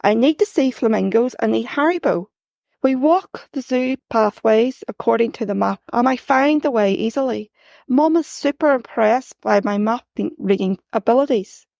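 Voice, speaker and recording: A woman reading her own first-person story aloud, expressive and rising sharply for the quoted shouts. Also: fairly high pitch, moderate pace, creaky, no room sound.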